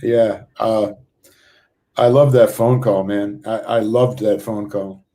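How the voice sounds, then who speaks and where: A man chatting casually as a playwright on a video call, enthusiastic and somewhat halting in his phrasing. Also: on the low side, little pitch movement, mellow. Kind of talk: casual chat.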